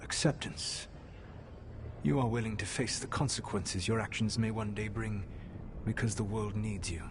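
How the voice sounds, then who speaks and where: An actor playing an ancient, proud and burdened elven man in a video game, speaking in a grave, measured, formal way. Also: fairly low pitch, steady tone, noisy background, natural voice. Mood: measured, counselling. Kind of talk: video game cinematic acting.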